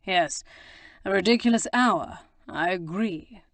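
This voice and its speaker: Woman playing a sardonic aristocratic gentleman in a storybook reading, languid and dry-humoured, close dry mic. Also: mid-range voice, takes it slowly, no echo. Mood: dryly amused. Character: sardonic amused aristocratic man, a duke. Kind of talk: audiobook character reading.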